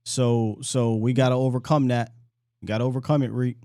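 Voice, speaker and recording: A man hosting a casual sports call-in show, slangy and laid-back, his voice going hoarse. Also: medium pitch, fairly even intonation, brisk, dark tone, no room sound, studio quality. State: voice getting hoarse. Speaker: sports talk host.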